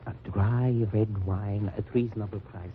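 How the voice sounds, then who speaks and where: An actor playing a suspicious German husband who probes a stranger deliberately and curtly in an old radio drama. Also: male voice, fairly low pitch, steady tone, close to an everyday voice. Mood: suspicious, cutting. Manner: deliberate, probing, curt. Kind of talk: 1940s-50s radio drama acting. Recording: dull, noisy old radio recording.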